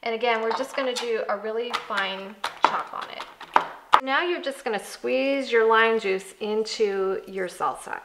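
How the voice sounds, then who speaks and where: A woman's voice: on the high side, unhurried, heavy background noise. A woman in her thirties with an American accent, explaining a recipe step by step in a conversational way as a cooking show host. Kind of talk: instructional cooking demonstration. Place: home kitchen, indoors. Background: background music.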